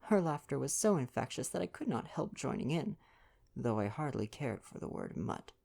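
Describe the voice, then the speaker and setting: A woman reads a bedtime story in a gentle ASMR style. The voice is very low for a woman, dark and mellow, with a creaky edge. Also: very animated, normal speed. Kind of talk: soft bedtime audiobook reading with casual asides.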